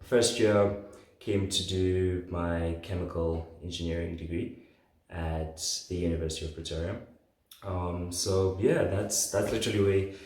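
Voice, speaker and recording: Casting: man, late 20s, low-pitched, informal podcast storyteller, recorded in a heavily echoing room. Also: fairly even intonation, measured pace, darkish tone. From southern Africa, born in Zambia. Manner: informal, conversational, often says "like". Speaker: podcast host. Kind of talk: casual solo podcast storytelling. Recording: very echoey room.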